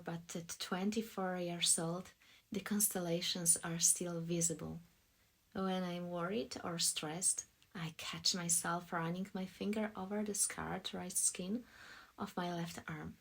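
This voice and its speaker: A woman from Poland reading scripted audiobook narration as a voice actress, in a soft, deliberate storytelling manner. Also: lowish voice, vocal fry, slightly rough.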